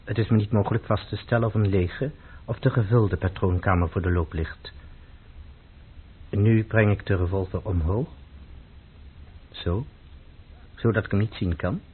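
Troubled, solemn man for radio drama; lowish, deliberate delivery, narrow phone-like sound. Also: husky. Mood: calmly instructing. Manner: deliberate, formal, demonstrative. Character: grave, troubled man obsessed with purity, calmly instructing. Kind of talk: radio play acting. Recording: muffled, phone-like old radio recording.

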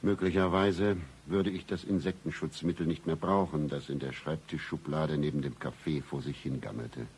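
Very deep-voiced, world-weary noir detective. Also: male voice, moderate pace, few pauses, breathy edge, exaggerated voice. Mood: gloomy, deadpan. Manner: deadpan, brooding. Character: world-weary, sardonic hard-boiled male private detective. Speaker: narrator and lead character. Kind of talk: noir parody radio drama narration.

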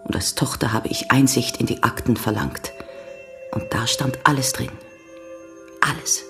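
An actress playing a grave young American woman giving measured, sombre testimony in a German radio crime drama. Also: rumbling low, in a whisper, music in the background. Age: young adult. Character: grave young American woman recounting her father's betrayal. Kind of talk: German radio play acting.

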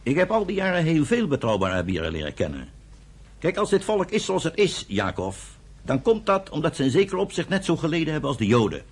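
An actor playing a principled, peace-minded man in a radio play, speaking in a measured, deliberate, reasoning way. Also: a little high, wildly swooping pitch, breathy edge, exaggerated voice. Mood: earnest. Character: thoughtful, principled older brother, peace-minded land buyer. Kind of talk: Dutch radio play acting.

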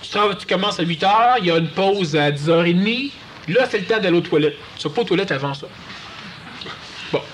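Québécois male speaker, high and highly expressive, conversational lecture with faint room noise. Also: fairly quick. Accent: Quebec French. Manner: animated, acts out quoted voices. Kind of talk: conversational talk to an audience.

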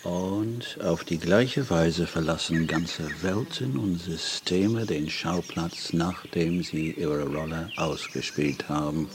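An actor playing a learned English gentleman in a radio play, speaking German with a light English accent. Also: deep voice, takes it slowly, few pauses, background noise. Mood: reflective.

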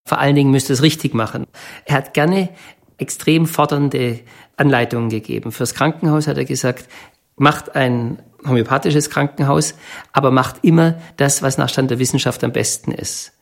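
A man's voice: mid-range voice, fairly even intonation, moderate pace, creaky. A man giving a thoughtful, explanatory recollection in an interview.

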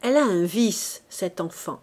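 Woman putting on a sly loud guy, jovial, gossipy and boastful, voice pushed up high. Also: lively intonation, unhurried. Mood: gossiping. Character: sly man in his prime, jovial and gossiping.

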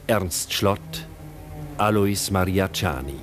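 A male announcer in 1950s radio style, with music clearly playing behind him. He is fairly low-voiced and extremely slow, setting out titles and names one by one.

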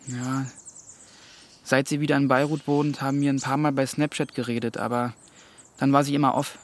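A male actor plays a teenage boy in a radio drama, medium-pitched and youthful. He is curious and a little stubborn, talking in a plain, thoughtful, dreamy way. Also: little pitch movement, normal speed, natural voice. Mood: dreamy, reflective. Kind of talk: naturalistic German radio drama acting.

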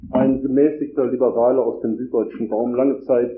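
A man with a cold gives a formal academic lecture at a conference, reading long, measured sentences from a script. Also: mid-range voice, fairly even intonation, soft background music. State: has a cold. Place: conference hall. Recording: echoey hall over a tinny, phone-like line.

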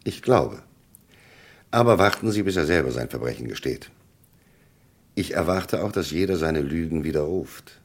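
An actor playing a shrewd police commissioner in a German radio crime drama, courteous but relentless, with formal, commanding questioning. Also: male voice, extremely low pitch, lively intonation, moderate pace, pauses often. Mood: probing, authoritative.

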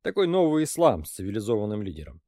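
A man reading an audiobook, voicing a shrewd, calm older intelligence officer who speaks in a dry, ironic, lecturing way. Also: lowish voice, quick pace, no echo. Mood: wry.

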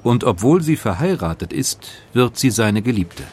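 A man narrating a scripted biographical documentary in a measured manner. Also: mid-range voice, sing-song, a little mellow, slight background noise.

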